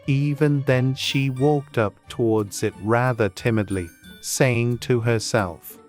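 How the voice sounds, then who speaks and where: A woman's voice: extremely low pitch, slow, a little mellow, faint music behind. A woman reading scripted storybook narration as an audiobook narrator.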